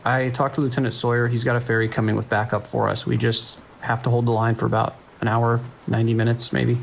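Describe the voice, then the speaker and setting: A man's voice: medium pitch, level tone, quick pace, vocal fry, lightly acted. A man playing an anxious police dispatcher in an audio drama, urgent and clipped, holding the line. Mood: steady, concerned. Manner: urgent, clipped radio procedure, hesitant when shaken. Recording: rain and ambient noise behind.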